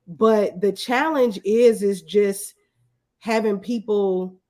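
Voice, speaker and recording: Conversational woman guest, mid-pitched voice. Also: steady tone, slow pace, darkish tone. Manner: anecdotal, acts out quoted exchanges. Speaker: guest, videographer. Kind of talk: conversational interview answers.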